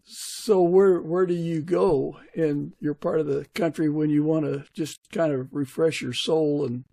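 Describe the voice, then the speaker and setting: A man's voice: a little high, fairly even intonation, unhurried, creaky, warm tone. A man hosting a podcast, moving between scripted introduction and friendly interview talk. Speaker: podcast host.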